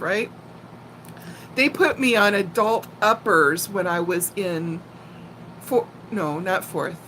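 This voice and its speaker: A woman in her sixties chatting casually as a live stream host, telling personal stories in a playful, animated way. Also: medium pitch, a little hiss or hum, rough recording. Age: 60s. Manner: animated, playful storyteller who laughs easily and often. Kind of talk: casual chat and personal storytelling.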